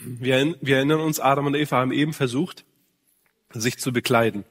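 A man's voice: medium pitch, steady tone, fairly quick. A man preaching a sermon in speech, addressing listeners earnestly and directly. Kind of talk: sermon to men. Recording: long hall reverb.

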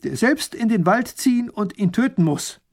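A man's voice: high voice, expressive, a little mellow, pitched above the performer's own voice. A man voicing a small, hot-tempered male grand duke who gives orders imperiously. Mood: indignant. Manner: imperious, quick to anger.

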